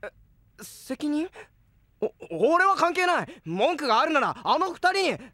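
An actor playing an earnest, hot-blooded young man who trains to be a knight in a Japanese audio drama, excitable in manner. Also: very high-pitched, moderate pace, exaggerated voice. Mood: flustered.

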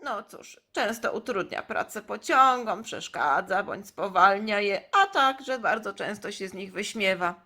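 A woman's voice: medium pitch, animated, measured pace, hardly any pauses, pushed and bright, heavily acted. A woman voicing a kindly male elder who patiently explains his toys in a children's play story. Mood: explaining.

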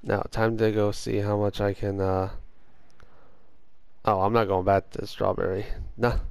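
Hoarse, gravelly man at low pitch. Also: little pitch movement, a little slow, darkish tone. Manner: chatty, swears when things go wrong. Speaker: gaming host. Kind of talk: casual let's-play commentary.

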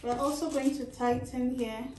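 High-voiced Nigerian woman teaching sewing. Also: normal speed, slight background noise. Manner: lively, animated, tags phrases with "okay?". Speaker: sewing tutor. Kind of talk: chatty tutorial with announcements. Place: small indoor sewing studio. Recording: roomy, slightly distant.

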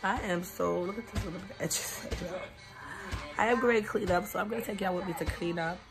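A woman in her thirties chatting casually to her phone camera at home, congested and raspy-voiced. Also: medium pitch, fairly even intonation, fairly quick, music in the background. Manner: chatty, loose and rambling. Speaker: vlogger. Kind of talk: casual vlog chat. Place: home, indoors. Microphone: phone camera at arm's length.

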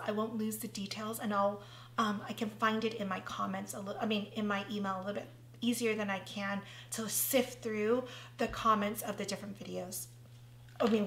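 A woman's voice: a little high, fairly bright tone, echoey room. Chatty woman losing her voice. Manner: chatty, thinking aloud while working. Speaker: craft tutorial host. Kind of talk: casual craft tutorial.

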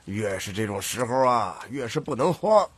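A man playing a thrifty, bossy old grandfather who heads a troupe, with a husky, drawling, lecturing delivery in an audiobook. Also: medium pitch, very animated, normal speed. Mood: dismissive, lecturing. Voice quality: husky, raspy. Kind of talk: audiobook character acting.

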